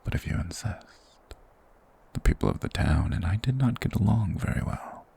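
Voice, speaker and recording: A man as a very deep, gravelly forest monster. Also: very animated, full character voice. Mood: reserved, polite. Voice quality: breathy, gravelly whisper. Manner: formal, slow, measured, softly persuasive. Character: mysterious soft-spoken male monster hermit of the woods, courteous, eerie. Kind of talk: ASMR fantasy roleplay.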